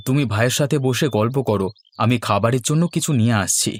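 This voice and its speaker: A man's voice: medium pitch, fast, natural voice. A man voicing a kind, humble young husband and caring brother-in-law in a fairy-tale cartoon.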